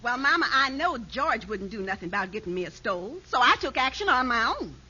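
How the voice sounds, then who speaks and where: A woman playing a brisk, willful married daughter in 1950s radio comedy, with folksy, emphatic speech. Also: a little high, sing-song, pushed and bright, husky, full character voice. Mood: self-satisfied. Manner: folksy nonstandard grammar, emphatic. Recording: dull 1950s radio recording.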